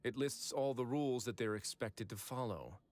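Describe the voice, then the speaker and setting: A man voicing a composed prison-fortress administrator in a video game, speaking in a measured, even way. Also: medium pitch, faint music behind, lightly acted. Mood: explaining.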